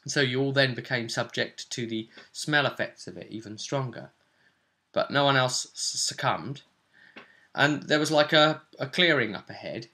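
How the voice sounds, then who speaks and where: Guy retelling his tabletop game, bright forceful voice, kinda rambly storytelling. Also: medium pitch, normal speed. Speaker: game master recapping a session. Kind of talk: casual spoken recap of a tabletop role-playing session.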